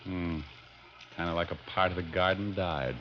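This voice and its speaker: An actor playing a wisecracking, easygoing ex-cop private detective in an old radio drama, with a wry, offhand delivery. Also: male voice, low voice, steady tone, moderate pace, slight background noise, natural voice. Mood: wry, relaxed. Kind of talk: 1940s radio detective acting, narration and dialogue. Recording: dull old radio recording, music behind.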